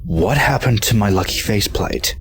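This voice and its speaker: Guy as a calm robot soldier hero, deep voice, dry humor, faint noise behind, audio drama. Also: moderate pace, natural voice. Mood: dry, wry.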